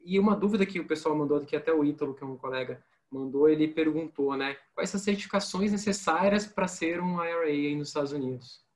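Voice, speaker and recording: A man from Brazil hosting an interview. He talks quickly, in a slightly raised register, and briskly sets up topics before putting questions to his guest. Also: fairly high pitch, a little mellow. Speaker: interviewer host.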